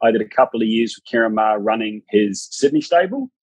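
A man in his thirties with a New Zealand accent, a guest on a podcast, talking in a relaxed, chatty way. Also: on the low side, fast talker, breathy edge, dry recording. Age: 30s. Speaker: racehorse trainer guest. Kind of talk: podcast interview.

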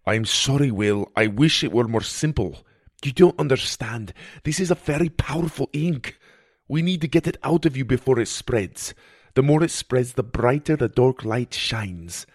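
A man voicing an earnest male mentor and ship healer in an adventure audio story, speaking gravely and emphatically. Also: mid-range voice, animated, moderate pace, no room sound, studio quality, lightly acted. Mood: grave, apologetic.

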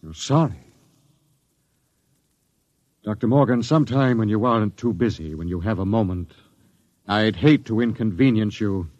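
An actor playing a grim, bitter spaceship captain in a 1950s radio drama, speaking in a solemn, measured way. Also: male voice, on the low side, lively intonation, a little mellow, no room sound, lightly acted. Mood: bitter, sarcastic. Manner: solemn, measured, sarcastic when angered. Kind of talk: 1950s radio drama acting, first-person narration. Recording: dull old radio transcription.

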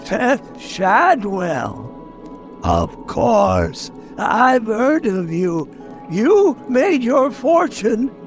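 Creaky, slow, mild ghoul. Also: male voice, high voice, sing-song, soft background music, exaggerated voice. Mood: puzzled. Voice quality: gravelly. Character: bewildered yellow-coated male ghoul, mild and plain-spoken. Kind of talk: radio drama acting.

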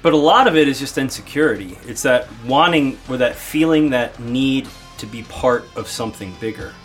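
A man's voice: medium pitch, normal speed, soft background music. A man co-hosting a podcast, speaking in a casual, conversational discussion style. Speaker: podcast co-host.

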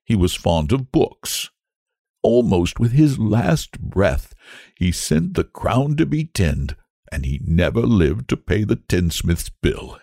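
Elderly storybook statesman, voiced by a man: deferential, flustered, careful phrasing, animated pitch. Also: slow, creaky, dry recording, studio quality, exaggerated voice. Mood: wistful. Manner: hesitant, courtly, deferential.

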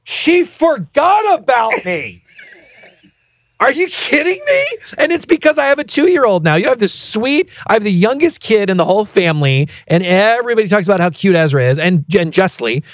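A man's voice: sits high, very animated, moderate pace, no room sound. A man in his thirties co-hosting a casual podcast, telling a story in a playfully indignant way. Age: 30s. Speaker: podcast co-host.

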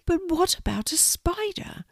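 A woman voicing a tiny, timid male spider in a children's storybook, gentle and sad, with a plaintive, tentative delivery. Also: on the high side, sing-song, unhurried, no room sound, clean studio sound, voice pushed up, heavily acted. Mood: worried.

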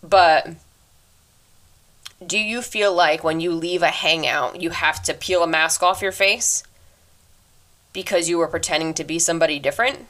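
A woman in her twenties hosting a solo podcast, talking earnestly and reflectively into her microphone, fast by habit, with a deep voice. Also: fairly bright tone. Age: 20s. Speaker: podcast host. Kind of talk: casual solo advice talk.

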